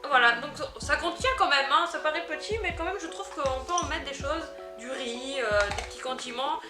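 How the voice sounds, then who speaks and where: A woman chatting through an unboxing vlog, easily delighted and quick to giggle. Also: high-pitched, echoey room, over music. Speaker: unboxing vlogger. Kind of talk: casual product unboxing chat.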